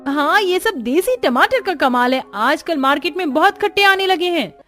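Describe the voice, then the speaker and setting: A woman's voice: very high voice, lively intonation, moderate pace, a bit bright, soft background music, pitched above the performer's own voice. A woman voicing a breezy, argumentative young daughter-in-law in a cartoon story. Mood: defensive.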